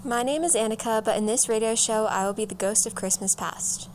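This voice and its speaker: A woman's voice: a little high, brisk, darkish tone. A teenage girl, a student performer, giving a scripted introduction to a radio drama. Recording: noisy recording with room ambience.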